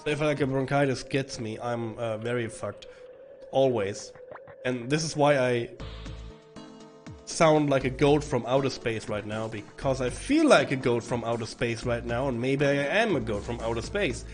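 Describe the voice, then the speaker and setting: A man with a hoarse, rough voice from bronchitis, chatting casually and rambling to stream viewers while playing a game. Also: medium pitch, moderate pace. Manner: rambling, chatty, swearing, with frequent restarts. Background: faint game music.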